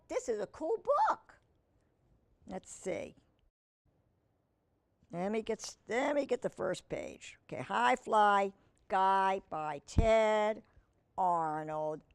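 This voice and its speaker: Woman, children's storytime host, lively pitch, unhurried pace, expressive read-aloud, chatty asides. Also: clear, resonant tone. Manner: expressive, slow deliberate reading with chatty asides and questions. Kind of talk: reading a picture book aloud with casual asides to young viewers.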